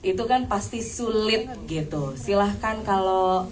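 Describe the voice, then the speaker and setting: A woman moderating a press Q&A at a film premiere, brisk and friendly, calling on people to ask questions. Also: mid-range voice, crowd noise. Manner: brisk and friendly, joking aside. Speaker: event host. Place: premiere event hall with audience.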